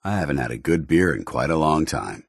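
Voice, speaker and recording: A dutiful paladin in power armor from a video game. His voice is very deep and recorded very dry. He speaks with stern, formal military bearing, clipping his phrases like an officer. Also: animated, a little fast, vocal fry, breathy edge, dry recording, exaggerated voice. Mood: grave, watchful. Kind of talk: video game companion dialogue acting.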